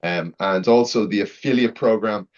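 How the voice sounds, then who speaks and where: Low-voiced man presenting, slightly dull audio. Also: moderate pace. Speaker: company presenter. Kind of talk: informal promotional announcement.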